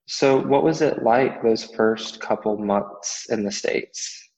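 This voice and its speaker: Male interviewer, relaxed, reverberant and slightly dull sound. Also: fairly low pitch, slow pace. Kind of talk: casual interview questions.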